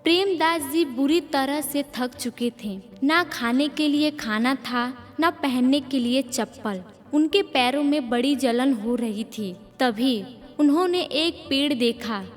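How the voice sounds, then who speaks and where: Female story narrator, scripted delivery, very high pitch, reverberant sound over background music. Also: unhurried. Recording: long reverb, music behind.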